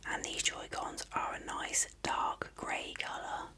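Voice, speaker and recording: A person speaking in a breathy, unvoiced whisper, softly and unhurriedly explaining things as an ASMR unboxing presenter. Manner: soft-spoken, unhurried, explanatory. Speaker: video presenter.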